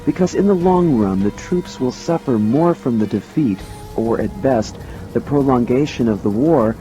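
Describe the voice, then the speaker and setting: A man's voice: medium pitch, lively intonation, moderate pace, warm tone, soft background music, low-quality recording. A man reading scripted audiobook narration in a formal, expository manner.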